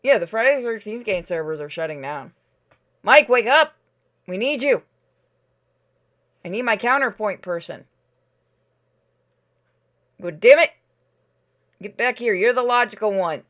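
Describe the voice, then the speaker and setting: A casual game streamer with a mid-range voice. The talk is blunt and sweary, working through decisions out loud. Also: mid-range voice, lively intonation, measured pace, pauses often. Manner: blunt, deliberating aloud, sweary. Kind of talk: casual gameplay commentary.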